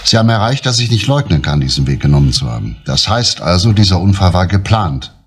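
An actor playing a middle-aged male chief police inspector who talks in a calm, grave, measured way in an old TV crime drama. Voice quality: low, husky, measured. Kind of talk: 1970s TV crime drama dialogue.

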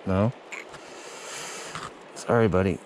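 A man's voice: deep voice, poor audio. Whooping man on a body-worn action cam. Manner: excited, whooping, encouraging. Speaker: fishing companion holding the net. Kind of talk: casual banter while fishing. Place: outdoors, standing in a shallow river. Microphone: action camera worn on his body. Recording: outdoor action cam with river noise. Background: rushing river water.

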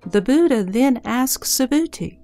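Mellow woman narrator with creaky edge. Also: high-pitched, expressive, a little slow, faint music behind. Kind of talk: scripted narration of scripture.

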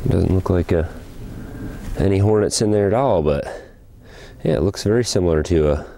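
A man casually narrating as he cleans out an old hive outdoors, thinking aloud with small pauses. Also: sits low, animated, vocal fry, dark tone, slight background noise. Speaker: hobby beekeeper and channel host. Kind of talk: casual narration while working. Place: outdoors beside a wooden beehive.

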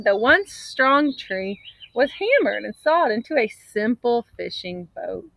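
Female storybook reader, 30s, slightly high voice, expressive and dramatic, dry outdoor sound. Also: dry recording. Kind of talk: read-aloud storytelling from a picture book. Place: outdoors in an open grassy area.

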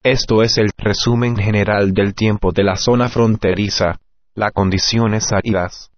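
Dull-sounding synthetic man, low and dry. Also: no room sound. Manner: mechanical, impersonal. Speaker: automated Spanish-language weather radio announcer. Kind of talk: synthesized weather bulletin reading. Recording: muffled lo-fi weather radio recording.

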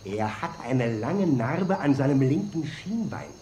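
An actor playing a nervous, apologetic colonel with a hesitant manner in an audio drama. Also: male voice, mid-range voice, very animated, a little slow, dark tone. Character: nervous, apologetic army colonel reporting to superiors. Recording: roomy, with faint noise.

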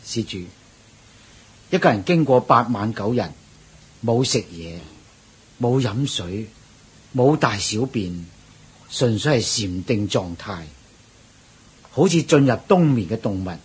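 An actor playing a patient, deferential Buddhist monk who explains things gently and in a measured way in a radio drama. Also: male voice, lowish voice, animated, slightly rough, full character voice. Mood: calm, reassuring. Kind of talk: Cantonese radio drama acting.

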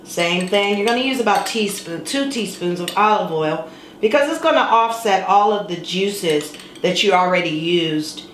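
A woman's voice: lowish voice, normal speed, fairly bright tone, slight background noise. A middle-aged woman hosting a home cooking show, chatting casually and playfully as she demonstrates a recipe. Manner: chatty and playful, sings words for fun. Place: home kitchen, indoors. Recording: echoey kitchen with clattering dishes.

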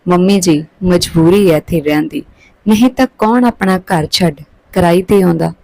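A woman's voice: on the low side, normal speed, creaky, mellow, no echo, low-quality recording. A woman voicing a respectful young married daughter-in-law, polite and deferential, in a story.

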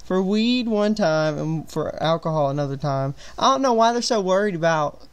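A man's voice: a little high, expressive, moderate pace. A man in his twenties hosting a casual livestream, who talks in a chatty, rambling way and voices strong opinions. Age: 20s. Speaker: livestream host.

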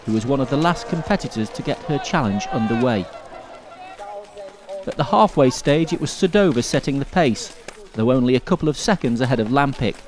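A man reading scripted sports highlights as a commentator.

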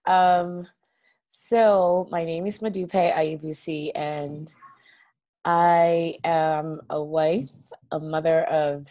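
A woman in her thirties from Nigeria speaks over a laptop webcam from her living room. Her voice sits very low and warm, and she tells her story slowly and openly, chatty, with small laughs. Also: fairly even intonation. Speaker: guest. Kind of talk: casual video-call interview. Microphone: laptop webcam on a video call.

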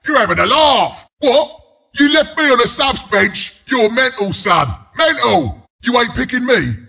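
Gravelly Cockney porcupine brawler. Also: male voice, sits high, sing-song, measured pace, pushed and bright, exaggerated voice. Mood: indignant, incredulous. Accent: London Cockney. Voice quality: gravelly, raspy. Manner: cheeky, blunt, slangy banter. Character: scrappy, cocky porcupine brawler as battle announcer. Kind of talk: video game announcer lines.

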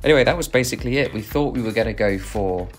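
A man's voice: medium pitch, fast talker, soft background music. A man giving a reflective voice-over narration for a nature photography vlog.

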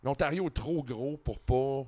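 A man in his forties with a Franco-Ontarian French accent chats as a guest in a living room, holding a handheld mic. He talks in an animated way, with restarts and the odd curse, at a middle pitch. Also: measured pace, slight background noise. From Ontario, Canada. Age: 40s. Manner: animated, lots of restarts and swearing. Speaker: podcast guest, entertainer. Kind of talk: casual chat.

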